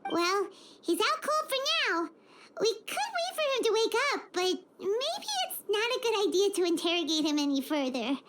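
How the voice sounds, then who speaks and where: A woman voicing a small, childlike companion creature, earnest, curious and squeaky, in a video game. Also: sing-song, normal speed, noisy background. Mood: worried. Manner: exclamatory, inquisitive. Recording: music behind the voice. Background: game music.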